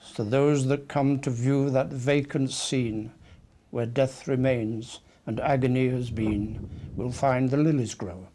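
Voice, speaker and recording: A man reading a poem aloud in a measured, solemn way. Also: mid-range voice, steady tone, warm tone. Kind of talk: slow poetry reading. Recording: faint background noise.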